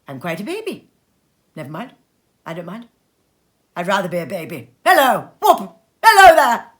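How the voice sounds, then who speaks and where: A woman's voice: mid-range voice, very animated, normal speed, close to an everyday voice. A woman reading a storybook aloud and voicing an elderly male miser, reformed and giddy with joy, who exclaims breathlessly and laughs through tears. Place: home sitting room.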